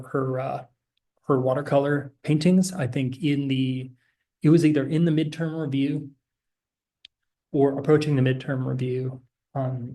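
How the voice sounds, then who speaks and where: Hesitant man presenting with a mellow, even voice. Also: medium pitch. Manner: hesitant, many fillers and restarts. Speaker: program director presenting. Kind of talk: informational slideshow presentation.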